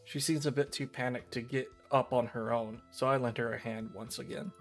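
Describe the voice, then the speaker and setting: A man's voice: medium pitch, fairly even intonation, fairly quick, over music. A man reading and talking through a visual novel on a livestream. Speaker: streamer.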